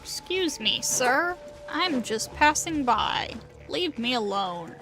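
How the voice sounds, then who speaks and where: A woman's voice: high voice, very animated, takes it slowly, pushed and bright. A woman doing casual gameplay commentary as a livestreamer, reacting aloud and talking to herself. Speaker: game livestreamer. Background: video game music.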